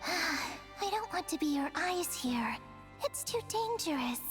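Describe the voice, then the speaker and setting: A wry, caring treasure guide from a video game. The voice is high and rings with long reverb over quiet music. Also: female voice, sing-song, takes it slowly, full character voice. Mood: concerned, wry.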